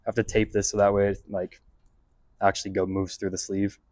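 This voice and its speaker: Male DIY vlogger, lowish voice, close dry sound, easygoing slangy talk while working on a truck. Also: completely flat delivery, fairly quick, darkish tone, dry recording. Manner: laid-back, slangy, thinking aloud. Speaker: vlog host doing a DIY car install. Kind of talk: casual narration while working on a vehicle. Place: in and around a pickup truck.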